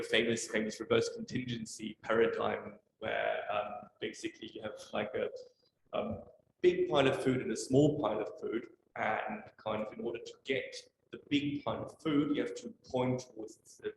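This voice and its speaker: A man's voice: on the low side, fairly even intonation, moderate pace, gravelly. A man asking a casual, unscripted question at an academic talk, tentative and full of verbal hedging. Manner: tentative, heavily hedged with "kind of" and "like". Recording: echoey room with faint noise.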